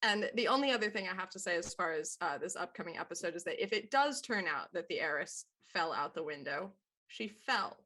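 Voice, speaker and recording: A woman's voice: lowish voice, animated, fairly quick, few pauses, pushed and bright, poor audio. A woman who works as a voice actor, talking casually in a panel chat.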